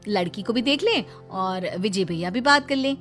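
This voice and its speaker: A woman's voice: medium pitch, expressive, normal speed, fairly bright tone, faint music behind, natural voice. A woman voicing a scheming young daughter-in-law in a cartoon story, sugary and coaxing.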